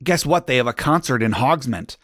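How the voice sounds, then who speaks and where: A man's voice: mid-range voice, lively intonation, fairly quick, a bit bright, no room sound, clean studio sound. Man acting a moody teenage goth boy, slightly raised voice. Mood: excited.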